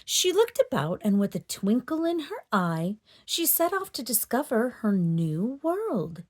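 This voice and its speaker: Woman, storyteller, children's read-aloud, dramatic delivery, lively swooping intonation. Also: a little high, measured pace.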